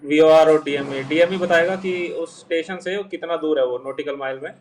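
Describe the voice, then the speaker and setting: A young man giving an informal, halting explanation as an interview guest, rephrasing step by step. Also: a little high, a little mellow, a little hiss or hum. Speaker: interview guest, junior air traffic controller. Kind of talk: informal explanation in Hindi mixed with English terms. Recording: voice distant from the microphone.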